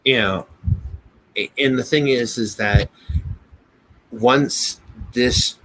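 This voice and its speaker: A man with an American accent in a casual business discussion, speaking haltingly, often repeating words and restarting. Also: mid-range voice, bright, forceful tone, rough recording. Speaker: business podcast co-host. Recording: noticeable background noise.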